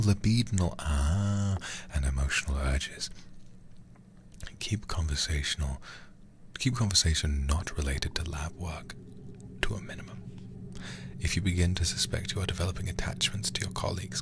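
A man streaming in a hushed ASMR style, reading horror game text deliberately into a close microphone. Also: sits low, background noise.

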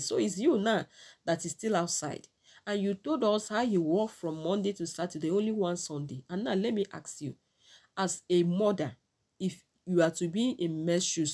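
A woman's voice: lowish voice, warm tone. A middle-aged woman with a Nigerian accent, giving opinionated, admonishing commentary straight to her viewers. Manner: admonishing, proverb-quoting. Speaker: vlogger commentator. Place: small indoor room with curtains.

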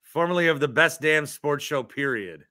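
Bright-voiced American man, casual sports host. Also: on the high side, normal speed, no room sound, studio quality. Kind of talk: casual chat. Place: small home room with framed pictures, video call. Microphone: desk microphone close in front.

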